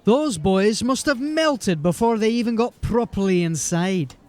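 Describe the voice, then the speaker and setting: A man's voice: high-pitched, lively intonation, measured pace, a little mellow, dry recording. A man playing a folksy, rambling, deferential corporal of a fantasy city watch in an audio drama. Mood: earnest. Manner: folksy, rambling, eager to please.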